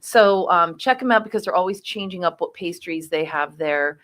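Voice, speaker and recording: A woman's voice: fairly low pitch, normal speed, fairly bright tone. A woman hosting a casual interview show, talking in a chatty, enthusiastic way. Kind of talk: casual chat.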